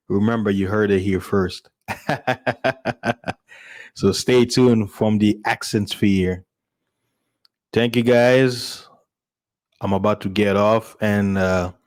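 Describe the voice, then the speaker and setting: Low-voiced man. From Congo. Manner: informal, chuckles often. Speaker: live streamer and podcast host. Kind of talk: casual chat to listeners.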